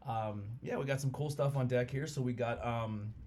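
A man's voice: medium pitch, moderate pace, a little hiss or hum. Rambling, laid-back podcast host man. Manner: rambling, relaxed, many fillers. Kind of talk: casual chat over beers.

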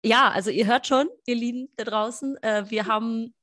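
A woman's voice: on the high side, steady tone, normal speed, a bit bright. A woman co-hosting a podcast as a business coach, chatting casually and enthusiastically, with many fillers. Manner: enthusiastic, gushing, lots of fillers.

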